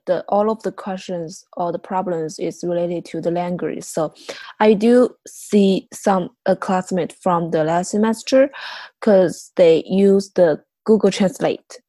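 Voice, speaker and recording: Female international student guest, mellow, hesitant, dry sound. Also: lowish voice, a little slow, no room sound. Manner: hesitant, searches for words with many fillers. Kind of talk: casual discussion.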